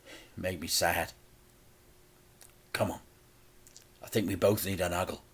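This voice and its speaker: A man's voice: deep voice, fairly even intonation, a little slow, breathy edge, heavily acted. A man voicing an anxious, hapless but loving dad in a children's storybook, earnest and hesitant. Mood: sad, tender.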